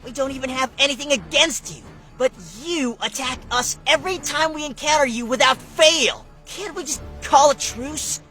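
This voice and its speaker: A man's voice: extremely high pitch, normal speed, few pauses, pushed and bright. A man voicing a brave, level-headed young cartoon dragon in an earnest, resolute manner. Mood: earnest, pleading. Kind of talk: animated cartoon voice acting. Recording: music behind the voice.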